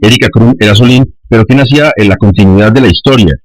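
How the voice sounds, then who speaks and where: A man's voice: on the low side, fast, dry recording, low-quality recording. A man hosting a radio interview, introducing and questioning guests. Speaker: radio host.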